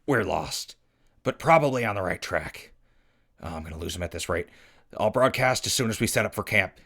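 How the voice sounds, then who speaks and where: Man playing a gravelly, folksy radio reporter. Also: fairly low pitch, lively intonation, a little fast, fairly bright tone. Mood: wry, companionable. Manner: folksy, informal, wry. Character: easygoing, folksy male wasteland radio reporter leading a crew. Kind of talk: post-apocalyptic audio drama.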